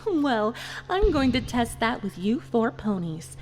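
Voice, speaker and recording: A woman's voice: on the high side, big pitch swings, unhurried. A woman voicing a coolly sadistic villain pony, mock-polite and taunting in a theatrical fan fiction drama. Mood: smugly sadistic. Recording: music behind the voice.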